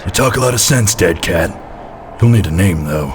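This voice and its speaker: A man as a low, gruff noir detective. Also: lively intonation, moderate pace, a little hiss or hum. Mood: grumbling. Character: boozy, crude, surly pill-popping male private detective. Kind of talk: hardboiled noir monologue parody.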